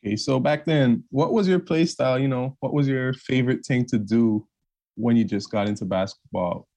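A man's voice: mid-range voice, moderate pace, mellow. A man hosting an interview podcast, asking his guest questions in a casual, laid-back way. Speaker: podcast host.

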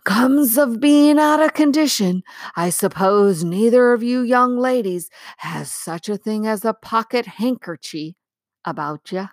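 Woman voicing a slow, polite, good-natured giant. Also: a little high, dry recording, studio quality, voice pushed up. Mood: puffed, sheepish. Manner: deferential, rustic colloquial speech.

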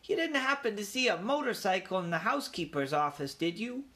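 A man's voice: high-pitched, sing-song, brisk, sharp tone, pitched above the performer's own voice, heavily acted. A man voicing a young boy mouse in a storybook, eager and a little grand. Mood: bewildered, anxious.

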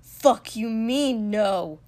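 A woman voicing a furious, volatile young man in an audiobook story, with a forceful, explosive delivery. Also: fairly high pitch, animated, drawn-out words. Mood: enraged, accusing.

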